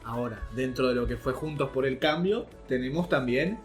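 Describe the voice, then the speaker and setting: A man's voice: mid-range voice, normal speed. A man hosting a semi-formal political discussion. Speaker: podcast host. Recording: faint music behind.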